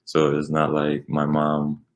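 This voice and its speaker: A man's voice: very deep voice, completely flat delivery, slow pace. A young man from Switzerland, a native Italian speaker and college basketball player, answering interview questions with many fillers. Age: young adult.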